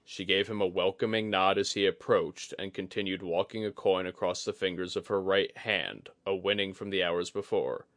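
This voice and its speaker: Male audiobook narrator for scripted fantasy fiction, lower register, dry studio-like sound. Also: steady tone, normal speed, few pauses, a bit bright, no echo.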